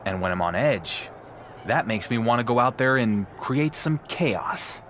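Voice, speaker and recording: A man playing a wary, defiant pro wrestling champion in a video game, speaking in a dry, deliberate way. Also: mid-range voice, lively intonation, fairly bright tone, slight background noise. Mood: edgy, defiant.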